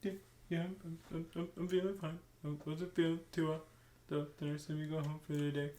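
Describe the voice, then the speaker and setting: A man's voice: on the high side, little pitch movement, a little fast, warm tone, natural voice. A man voicing a shy, anxious teenage schoolboy who hesitates and stammers, in an amateur story reading. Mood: sheepish. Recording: roomy, echoing room sound.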